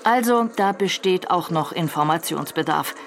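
An actress playing a brisk, probing radio news presenter who questions in a businesslike way within a radio drama. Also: female voice, lowish voice, expressive, slight background noise. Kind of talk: radio news interview acting.

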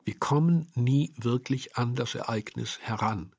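A man's voice: mid-range voice, measured pace, gravelly, a little mellow, breathy edge, dry recording, clean studio sound. A man giving a reflective interview statement in long, intellectual, winding and formal sentences. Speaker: radio play maker.

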